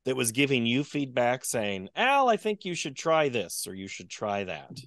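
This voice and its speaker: A man's voice: medium pitch, very animated, moderate pace, fairly bright tone, dry recording, studio quality. A man interviewing a guest over a video call, asking friendly, playful questions.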